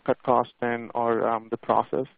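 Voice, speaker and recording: A man speaking as a financial analyst, asking questions on an earnings call in a polite, halting way as he searches for words. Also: mid-range voice, monotone, vocal fry, dry recording. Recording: dry, tinny narrow phone line.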